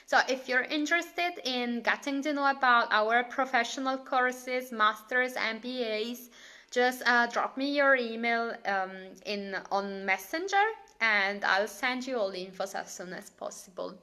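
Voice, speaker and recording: Bright-voiced Italian woman chatting live. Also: fairly high pitch, unhurried. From Italy. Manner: chatty, laughs often, reads out comments. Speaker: Italian teacher hosting a live Q&A. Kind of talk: casual live-stream chat.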